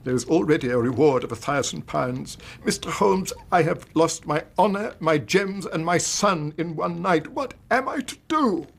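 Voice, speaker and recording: Middle-aged Victorian banker, English accent, gravelly, husky, formal, agitated father, radio play. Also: fairly high pitch, lively intonation, normal speed, hardly any pauses. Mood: desperate. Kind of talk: radio drama acting.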